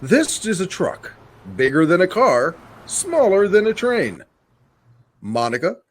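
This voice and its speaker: A man playing a pompous TV news anchor in a parody newscast, explaining things in a flat, over-simple way. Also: high-pitched, slow pace, heavily acted. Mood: deadpan.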